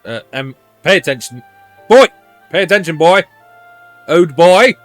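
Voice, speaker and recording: A man's voice: fairly high pitch, animated, a little slow, no room sound, soft background music. A man streaming a game live, giving casual commentary and reactions.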